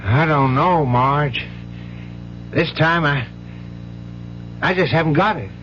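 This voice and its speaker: Higher-pitched folksy uncle, old radio. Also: male voice, slow, fairly bright tone. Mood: frustrated, regretful. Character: genial bank-teller uncle, protective of his brother's family. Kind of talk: old-time radio drama acting. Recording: dull, noisy old radio recording.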